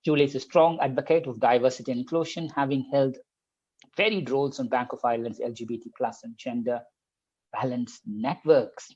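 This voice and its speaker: Male podcast host, slightly husky, mid pitch, reading a guest bio with small jokes and stumbles. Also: fairly even intonation, brisk, a little mellow, highs cut off. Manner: reads a long guest introduction, jokes lightly, some stumbles. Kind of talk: podcast host introduction and interview.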